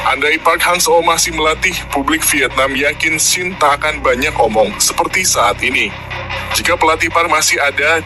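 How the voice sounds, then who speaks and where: A man with an Indonesian accent reading scripted football news as a narrator, in a formal read-out delivery. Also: fairly high pitch. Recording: music bed under the voice. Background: background music.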